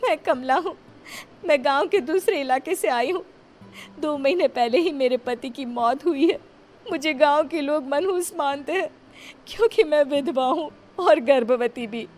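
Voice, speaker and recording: A woman acts out a helpless, grieving young village widow in a story. The voice is high and comes with noticeable ambient noise. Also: unhurried, a little mellow. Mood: tearful, pleading. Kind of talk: scripted audio story acting.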